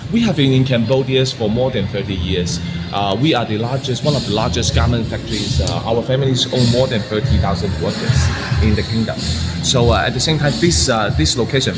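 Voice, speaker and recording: A man makes an investment pitch in an interview. He speaks haltingly, pausing on fillers and restarting his phrases. Loud music plays behind him the whole time. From Hong Kong. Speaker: property developer. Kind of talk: interview answers, sales pitch.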